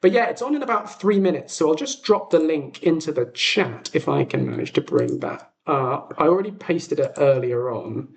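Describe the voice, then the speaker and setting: Male streamer, fairly high-pitched and expressive, informal and rambling with self-deprecating asides, recorded in an echoey makeshift setup. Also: moderate pace, gravelly, hardly any pauses. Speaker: livestream host and audio drama creator. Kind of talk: casual livestream chat. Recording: echoey untreated room.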